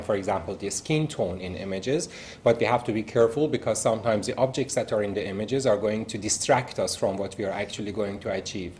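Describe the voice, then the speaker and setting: A man's voice: medium pitch, darkish tone, slight background noise. A male university lecturer with an Iranian accent, a native Persian speaker, giving a recorded lecture and working through examples step by step with frequent fillers.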